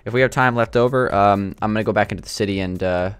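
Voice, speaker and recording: A young man with a slightly raspy, sore throat, thinking aloud with lots of fillers as he builds in a game. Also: lowish voice, quick pace, no room sound. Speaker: gaming channel host. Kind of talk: casual gameplay commentary.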